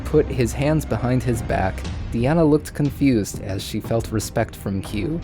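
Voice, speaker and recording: A man's voice: mid-range voice, measured pace, music in the background. A man reading a story aloud in an informal way, sometimes stumbling over lines. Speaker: fan fiction reader.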